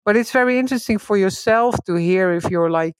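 A woman whose first language is Dutch talks through spiritual ideas in a relaxed way. She restarts often, laughs easily and jumps high when excited. The sound is dry and close, with no room around it. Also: fairly quick, clean tone, no room sound. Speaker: guest.

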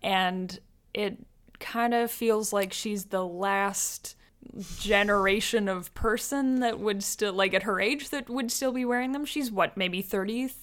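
A woman's voice: medium pitch, a little slow, a bit bright. A young adult woman co-hosting a podcast, chatting casually about a film, often laughing and trailing off mid-sentence. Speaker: podcast co-host.

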